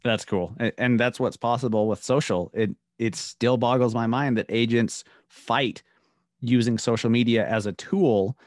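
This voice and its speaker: A man in his thirties hosting a podcast, talking in a relaxed, conversational way into a studio microphone at home. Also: mid-range voice, a little slow, no room sound. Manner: relaxed, conversational, brief affirmations. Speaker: podcast host. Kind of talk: casual interview chat. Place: home room with studio mic. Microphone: studio microphone on boom arm.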